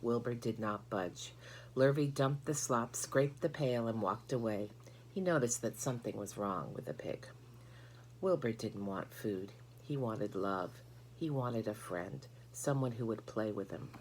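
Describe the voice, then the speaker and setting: Female storybook narrator, low register, scripted children's reading, faint hum behind. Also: a little slow, darkish tone, low-quality recording. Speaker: storybook reader.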